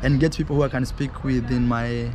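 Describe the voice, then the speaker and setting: A man's voice: mid-range voice, flat delivery, a little fast, darkish tone. A young Kenyan man, native in Swahili, chatting casually and animatedly as a travel vlogger interviewing a guest outdoors. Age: young adult. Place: open rooftop terrace, outdoors. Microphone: camera at arm's length. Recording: outdoor urban background noise.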